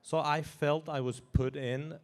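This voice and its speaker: A man in his forties from Iran who grew up in Norway, talking as a guest in an interview in an animated way, chuckling and restarting his sentences. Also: fairly high pitch, measured pace. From Iran, raised in Norway. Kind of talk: casual interview talk.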